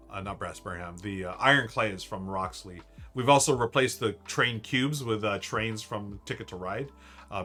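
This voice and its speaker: Excited, bright-voiced man streaming. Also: on the low side. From United States. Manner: enthusiastic, rambling, many fillers and restarts. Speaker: board game streamer ranking monthly games. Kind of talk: casual live-stream chat.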